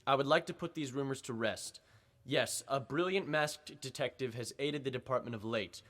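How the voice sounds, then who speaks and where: An actor playing a pompous, testy district attorney making declamatory statements to the press in an old-time radio drama. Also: male voice, medium pitch, normal speed, a bit bright, close to an everyday voice. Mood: solemn. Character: blustering, evasive male district attorney at a press conference.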